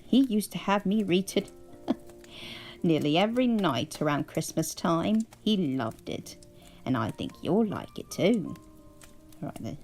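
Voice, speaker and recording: A prim, chuckling English grandmother, as a woman puts her on, settles in to read a bedtime storybook. She speaks slowly, with a lilting, swooping tone. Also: on the low side, full character voice. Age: older. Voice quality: sing-song, gently laughing, prim.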